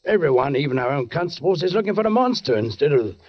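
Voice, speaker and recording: Dramatic, high-pitched police sergeant, old radio. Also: male voice, sing-song. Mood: knowing, pointed. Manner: measured, dramatic, with pointed pauses. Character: suspicious, deliberate police sergeant narrating a murder case. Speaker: actor in a radio mystery. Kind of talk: 1940s radio drama acting. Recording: dull 1940s radio recording.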